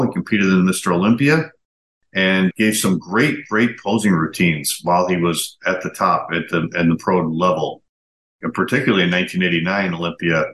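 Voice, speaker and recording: A man's voice: low voice, a bit bright. A man in his fifties presenting a podcast in a conversational way, introducing guests and asking them questions. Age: 50s. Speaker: podcast host. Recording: echoey room.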